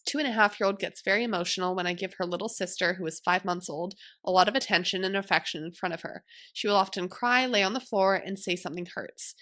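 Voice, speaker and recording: A woman in her thirties with a mid-range voice reads listener questions aloud on a podcast. She speaks softly and quietly, in a plain, even manner. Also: medium pitch. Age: 30s. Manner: soft-spoken, quiet. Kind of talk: casual podcast chat, reading questions.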